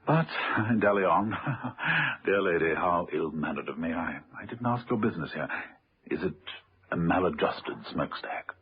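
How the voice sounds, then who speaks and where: An actor playing a cheerful, courtly, posh British chimney sweep with flowery, hesitant speech in a muffled radio play. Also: male voice, deep voice, very animated, normal speed, slightly rough, exaggerated voice. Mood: jovial, affable. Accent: upper-class British. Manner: flowery, plummy, genteel and hesitant. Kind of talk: radio drama acting. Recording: muffled old radio recording.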